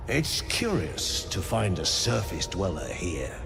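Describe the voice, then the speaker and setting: A man's voice: low-pitched, sing-song, slow, vocal fry, full character voice. A man voicing a courteous, earnest scholar creature in a fantasy game, speaking formally and eloquently. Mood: curious, reassuring. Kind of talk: video game voice acting. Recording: long reverb, music behind.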